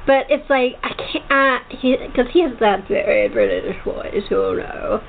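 A woman hosting a casual chat interview, talking in a rambling, halting way with frequent restarts. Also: a little high, wildly swooping pitch, gravelly. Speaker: talk show host. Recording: tinny phone-line sound with faint noise.

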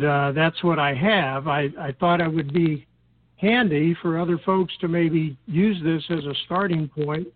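A tinny, noisy phone line carries the fairly high voice of an older American man. He is a guest explaining things in a measured, halting manner, often pausing to clear his throat. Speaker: podcast guest, experienced recreational boater. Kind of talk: unscripted explanatory interview talk.

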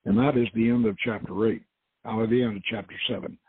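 A man with a gravelly, husky voice hosting and reading his own novel aloud as dramatic narration. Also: lowish voice, fairly even intonation, brisk, dry recording. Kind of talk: dramatic audiobook-style narration. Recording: dull, lo-fi audio.

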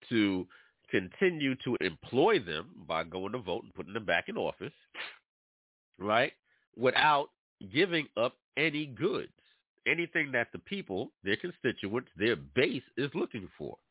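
A man's voice: mid-range voice, husky, no room sound. A man from New York hosting an internet radio show, talking casually and preachily straight to his listeners, congested from allergies. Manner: animated, preachy, addresses listeners directly. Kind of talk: casual talk radio monologue. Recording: dry, tinny phone-like sound.

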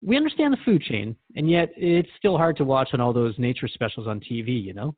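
A man's voice: mid-range voice, expressive, brisk, phone-quality sound, lightly acted. A man playing an earnest, thoughtful radio host who interviews in a reflective, conversational and sometimes hesitant way. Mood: earnest, troubled.

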